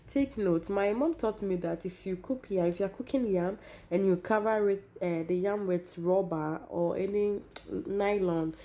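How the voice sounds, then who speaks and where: A woman hosting a cooking tutorial, hoarse from losing her voice, chatty and repeating herself as she talks to viewers. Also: medium pitch, unhurried, mellow. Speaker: cooking channel host.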